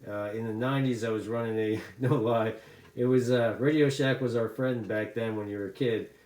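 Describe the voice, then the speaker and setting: A man's voice: mid-range voice, fairly even intonation, normal speed, darkish tone. A middle-aged American man chatting casually as a CB radio hobbyist, talkative and given to repeating his phrases. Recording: echoey room sound.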